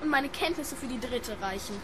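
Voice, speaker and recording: A woman's voice: high voice, normal speed, natural voice. A woman playing a precocious, obedient seven-year-old boy in a radio play, earnest and formally polite. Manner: formal, well-mannered, earnest. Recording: noisy scene with background crowd.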